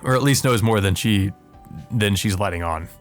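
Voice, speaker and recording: A man's voice: lowish voice, normal speed, faint music behind. A man hosting a let's play, reading visual novel text aloud with casual commentary.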